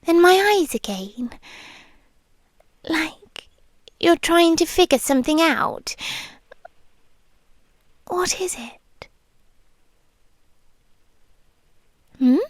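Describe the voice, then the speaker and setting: British woman doing a creepy sweet vampire girlfriend asmr, british, high whispery voice, coaxing, super close dry mic. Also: expressive, no echo, full character voice. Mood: softly probing. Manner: slow, breathy whispering with pauses, coaxing. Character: sweetly menacing possessive yandere vampire girlfriend. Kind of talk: ASMR roleplay. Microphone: close microphone, dry.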